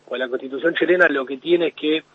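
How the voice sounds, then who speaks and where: A man explaining news analysis to a radio host as correspondent, steady and with frequent restarts. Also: a little high, fast talker, husky, no echo. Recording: phone-line call into radio.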